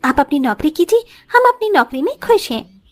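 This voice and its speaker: A woman acts a poor young village bride in a moral cartoon. She speaks in a high, melodic voice, respectful and dutiful, with a humble temper. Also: big pitch swings, normal speed. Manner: polite, respectful. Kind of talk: moral story cartoon acting.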